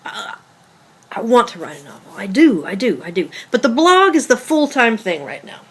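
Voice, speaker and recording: A female actor plays a secretive, defensive girlfriend in a naturalistic drama read. Her voice is on the high side and swings widely in pitch. She speaks haltingly and evasively. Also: big pitch swings, a bit bright, breathy edge, heavily acted. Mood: indignant, defensive. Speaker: actor in a script table read.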